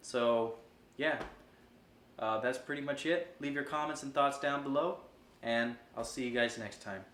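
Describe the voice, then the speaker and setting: A man's voice: mid-range voice, unhurried, fairly bright tone. A man casually explaining and reviewing gear in an informal, explanatory way. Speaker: host reviewing guitar gear. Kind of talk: casual product demo and review. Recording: roomy echo, noticeable background noise.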